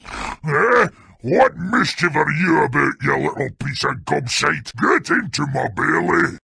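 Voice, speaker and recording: A slow, raspy, creaking voice plays a surly old brute in a fairy tale game. He is sour, threatening and theatrical. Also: on the high side, lively intonation, pushed and bright, no echo, heavily acted. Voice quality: gravelly, rasping. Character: surly, foul-mouthed old brute of a villain. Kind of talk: theatrical video game cartoon acting.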